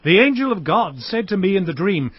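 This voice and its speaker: Casting: high-pitched, hardworking herdsman for scripture drama, earnest and aggrieved, slightly dull sound. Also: sing-song, brisk, husky, no echo, full character voice. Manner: earnest, declamatory. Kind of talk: dramatized audiobook acting. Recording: dull studio recording with music.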